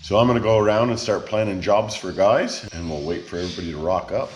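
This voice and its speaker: Australian man, 50s, froggy hoarse voice, laid-back vlog chat, noisy workshop. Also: lowish voice, quick pace, echoey room. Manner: laid-back, jokey, calls everyone mate. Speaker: vlog host, restoring a 4WD. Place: home garage workshop.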